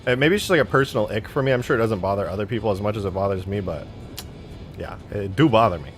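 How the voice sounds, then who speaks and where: Guy streamer w a lowish voice, slangy n self deprecating, chatting to viewers, music in the back. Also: fast. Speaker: live streamer. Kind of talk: casual livestream chat, reading out viewer comments.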